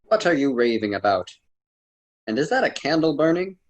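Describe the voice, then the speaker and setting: A man's voice: medium pitch, big pitch swings, normal speed, lightly acted. A man playing a courteous, lofty ancient poet and guide in a radio drama, speaking formally and theatrically. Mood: amused, admonishing.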